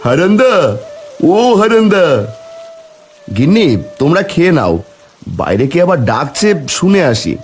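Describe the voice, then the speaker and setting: A man's voice: high voice, sing-song, normal speed, long pauses, a little hiss or hum. A man voicing a worried, caring village husband in a cartoon, with a Bengali accent and colloquial, exclaiming speech. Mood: concerned. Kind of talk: cartoon dialogue acting.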